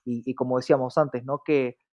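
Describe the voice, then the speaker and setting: A man's voice: medium pitch, level tone, fairly quick, a little mellow. A man with an Argentine accent talking reflectively in a conversational interview, often checking in with short tag questions.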